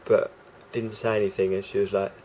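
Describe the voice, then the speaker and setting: A man with a croaky voice talking casually to camera as a vlogger, rambling and hesitant with many fillers and restarts. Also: lowish voice, little pitch movement, fairly quick, a little mellow, slight background noise, poor audio.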